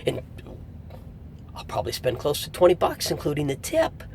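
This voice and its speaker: Animated, gravelly-voiced man reviewing casually. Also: normal speed. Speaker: audiobook reviewer. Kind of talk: casual spoken review to camera. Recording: faint low rumble, like vehicle noise.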